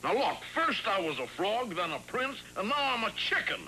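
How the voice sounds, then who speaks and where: A man voicing a stubborn, put-upon young frog in an animated fairy tale, wry and complaining. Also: sits high, moderate pace, slight background noise, heavily acted, low-quality recording. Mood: exasperated.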